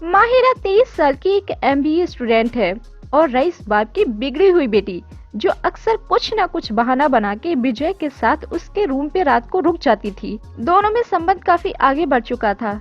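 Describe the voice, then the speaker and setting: Woman story narrator, really high voice, bit muffled recording w music. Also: big pitch swings, moderate pace. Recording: dull lo-fi audio with music. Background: background music.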